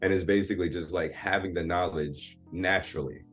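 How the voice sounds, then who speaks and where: A man's voice: deep voice, steady tone, fairly quick, a bit bright, soft background music. A man in his thirties from Jamaica, talking candidly and confidently about himself in a casual therapy conversation. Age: 30s. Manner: candid, self-assured, open. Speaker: therapy client guest.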